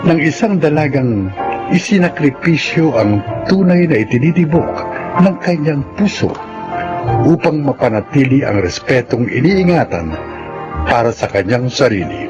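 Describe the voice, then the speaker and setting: Male radio drama announcer, Filipino accent, slow, swooping pitch, theatrical, muffled, music bed. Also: expressive. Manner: measured, theatrical. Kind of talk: dramatic scripted narration.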